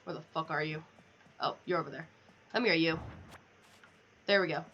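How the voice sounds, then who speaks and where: A woman's voice: low-pitched, normal speed, dull audio, a little hiss or hum. A woman chatting casually on a gaming livestream, playfully swearing and talking to the game characters as she plays. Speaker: livestreamer playing a video game.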